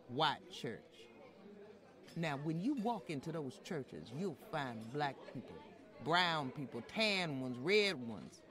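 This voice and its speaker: A woman playing an outspoken, unapologetic former maid, telling her story in a folksy, plainspoken way with dramatic pauses, in old-time radio style. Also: deep voice, a bit bright, exaggerated voice. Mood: pointed, insistent.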